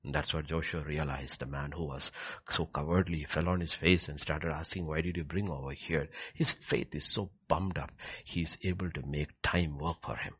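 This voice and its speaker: Man, deep husky voice, sermon delivery, emphatic repetition, dramatic shifts from hushed to loud. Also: fairly even intonation, normal speed. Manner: emphatic, repeats key phrases for effect. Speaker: preacher leading a prayer service.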